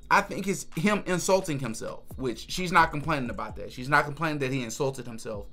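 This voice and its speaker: A man's voice: mid-range voice, bright, forceful tone. A young man hosting a reaction video in a small room, giving casual, opinionated commentary and repeating words for emphasis. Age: young adult. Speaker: host of a reaction channel. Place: small furnished room indoors.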